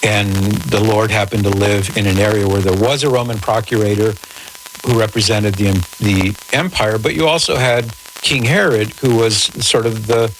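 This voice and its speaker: A man hosting a radio call-in show, answering in a measured, articulate, conversational way with formal wording. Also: fairly low pitch, steady tone. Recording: noisy radio broadcast with static.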